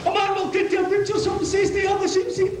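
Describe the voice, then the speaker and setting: A woman plays a daft, cheeky pantomime servant with a very high voice and a clowning manner. It is recorded in an echoey hall with clear background noise. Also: completely flat delivery, a little mellow. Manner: slow-witted, clowning. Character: dim, cheeky joke-telling servant in a pantomime. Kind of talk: amateur pantomime comic stage acting. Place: on stage in a high school hall.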